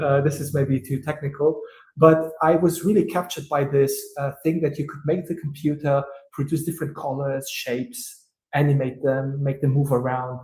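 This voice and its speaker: A man's voice: medium pitch, a little mellow. Hesitant man from Romania, roomy echo. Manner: thoughtful, hesitant, many "uh" pauses. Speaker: guest, tech entrepreneur. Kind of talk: interview answers.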